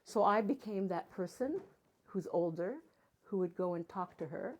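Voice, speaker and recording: A woman's voice: medium pitch, animated, moderate pace. An older woman from Canada, a guest speaker and matchmaker, talking casually to a live audience and telling stories with playful asides. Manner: chatty storytelling with playful asides.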